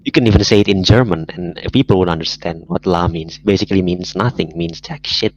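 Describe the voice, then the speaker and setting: Young man with a very deep voice, stubborn joking banter, lo-fi recording. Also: brisk, soft background music. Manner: argumentative, joking. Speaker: podcast co-host. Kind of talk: casual chat among friends.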